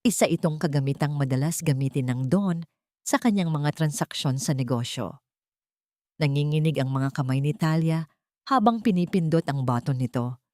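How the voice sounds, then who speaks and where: A woman's voice: low-pitched, moderate pace, warm tone, dry recording, studio quality. A woman reading a dramatic scripted story as an audiobook narrator.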